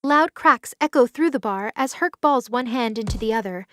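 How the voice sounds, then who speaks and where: A woman's voice: a little high, animated, normal speed, a bit bright. A woman reading scripted third-person narration for an audio drama. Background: music under the voice.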